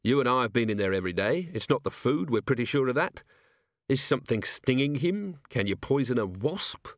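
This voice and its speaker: A gruff, impatient police commander, read by a male narrator in a fantasy storybook. He is terse and brooding, at a middling pitch with lively emphasis and a dry sound. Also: a little fast, no room sound, close to an everyday voice. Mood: impatient, musing.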